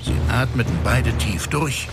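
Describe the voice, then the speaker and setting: A man's voice: medium pitch, lively intonation, a little slow, very noisy. A man narrating a scripted fantasy story as part of a radio play. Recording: loud music under the voice.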